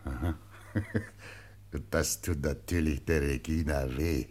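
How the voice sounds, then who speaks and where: Jovial, leisurely Bavarian notary. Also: male voice, a bass voice, steady tone, a little mellow, slight background noise. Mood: jovial, teasing. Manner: leisurely, jocular, drawn-out phrasing. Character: jovial pleasure-loving village notary, teasing his housekeeper. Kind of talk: radio play acting.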